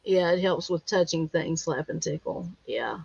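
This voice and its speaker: A woman's voice: sits low, expressive, normal speed, creaky, darkish tone, breathy edge, no room sound, lo-fi sound. A woman hosting a livestream in a casual, informal way, chatting and reading out comments. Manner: informal, reads out chat comments. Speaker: livestream host.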